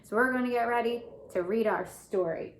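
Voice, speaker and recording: A woman reading a children's story aloud as a teacher, pausing to ask questions and explain words. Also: on the high side, a little hiss or hum.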